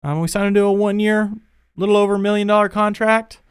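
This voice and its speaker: A young man hosting a casual sports podcast from his home studio, chatty and energetic, his voice a bit worn. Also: high voice, quick pace, clear, resonant tone. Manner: energetic, chatty, thinking aloud. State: losing his voice. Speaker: podcast host.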